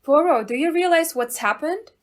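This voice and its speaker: A woman playing an excitable, gruff police inspector in an audio play, blustering and commanding. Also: high voice, moderate pace. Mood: shocked, indignant.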